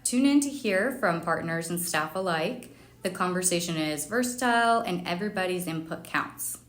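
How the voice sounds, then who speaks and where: A woman's voice: on the low side, expressive, moderate pace, a bit bright. Woman podcast host in an echoey room. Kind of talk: scripted podcast introduction and interview questions. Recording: roomy echo, faint background noise.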